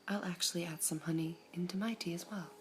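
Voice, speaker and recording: A woman voicing a calm, formal female gem who serves tea, speaking in a soft, polite ASMR whisper with a slightly low voice. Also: quick pace, a little hiss or hum, close to an everyday voice. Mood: serene. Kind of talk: ASMR roleplay.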